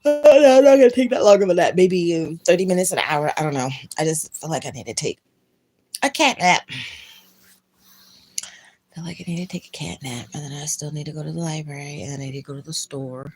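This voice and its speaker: A woman's voice: sits low, brisk. A young woman chatting with viewers on a livestream from her bed at home, drowsy and murmuring, often dropping to a whisper. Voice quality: husky, breathy voice. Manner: drowsy, drifting between murmurs and sudden loud laughs. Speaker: livestream host. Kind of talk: casual livestream chat with viewers. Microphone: phone camera.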